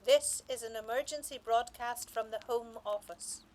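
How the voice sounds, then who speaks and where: An actress playing a grave government announcer reading a formal emergency broadcast in an audio drama. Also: female voice, fairly high pitch, moderate pace, close to an everyday voice. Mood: grave, urgent. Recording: clearly noisy broadcast recording.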